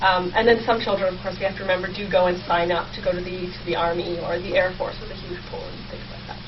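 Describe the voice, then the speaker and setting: A woman's voice: fairly low pitch, flat delivery, quick pace, crowd noise. A young woman explaining her research conversationally and enthusiastically as an interview guest. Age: young adult. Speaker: guest researcher, history PhD student. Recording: distant, dull, clearly noisy sound.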